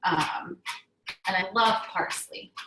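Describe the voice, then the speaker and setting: A woman's voice: medium pitch, takes it slowly, background noise. Chatty young woman, echoey kitchen. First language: Hebrew. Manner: chatty, enthusiastic, many ums and restarts. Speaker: cooking class instructor. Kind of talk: casual cooking demonstration.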